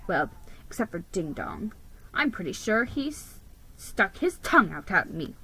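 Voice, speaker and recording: A woman giving a dramatic, expressive reading of a children's story, stumbling over the odd word. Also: mid-range voice, unhurried, breathy edge, low-quality recording. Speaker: storybook reader.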